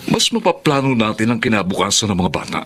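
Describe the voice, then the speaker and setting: Pushy filipino husband in a radio drama, low-ish voice, very animated, persuasive. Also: male voice, quick pace, noisy background. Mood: urging. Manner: persuasive, pressing. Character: insistent ambitious husband and father working abroad.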